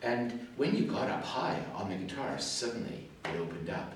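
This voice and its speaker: A man's voice: medium pitch, big pitch swings, moderate pace, background noise. An older man with a Suffolk English accent tinged by Canada, giving chatty, digressive feedback as a competition adjudicator in a school music hall. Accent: Suffolk English with Canadian traces. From England, early life in Canada. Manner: chatty and digressive, self-deprecating asides. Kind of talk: spoken feedback to performers. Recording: very echoey hall, distant mic.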